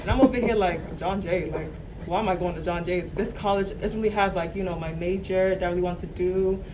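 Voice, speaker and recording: A woman's voice: fairly low pitch, fairly even intonation, a little fast. A woman in her twenties from Brooklyn, a college student guest chatting casually and filling her talk with "like" and "you know". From Brooklyn, New York. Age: 20s. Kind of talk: casual panel chat. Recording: distant mic in a noisy room.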